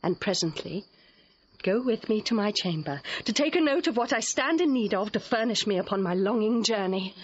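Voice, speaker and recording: Young noblewoman in Shakespearean radio play: headstrong, romantic, lyrical, breathy-husky tone, slightly dull recording. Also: mid-range voice, expressive, normal speed. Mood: earnest, devoted. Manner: ardent, lyrical verse delivery. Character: lovestruck, headstrong young noblewoman, eloquent and playful. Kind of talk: classical Shakespearean audio drama.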